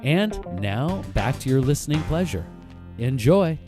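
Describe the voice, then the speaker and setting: A man's voice: on the high side, very animated, unhurried. A man hosting a podcast, delivering a casual promotional announcement with guitar music behind him. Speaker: podcast host.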